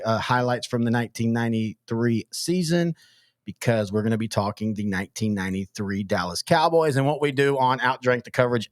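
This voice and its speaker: A man's voice: medium pitch, dry recording, studio quality. Rambling man hosting sports talk. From East Texas. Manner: rambling, frequent restarts and stammered repeats. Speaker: podcast host.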